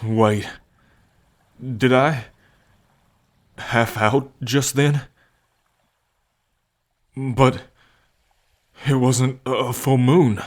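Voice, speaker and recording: Casting: male actor as a devoted bull man with a violent past, unhurried and halting, tender and earnest, for listener-directed ASMR roleplay. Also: medium pitch, fairly even intonation. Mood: stunned, halting.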